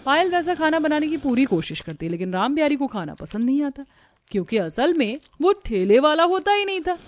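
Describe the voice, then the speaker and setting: A woman reading scripted narration for an animated family story as its storyteller. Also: high-pitched, brisk, dry recording.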